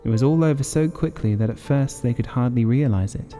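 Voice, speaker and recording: Male audiobook narrator for gothic horror: mellow, measured, dramatic, long reverb over music. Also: medium pitch.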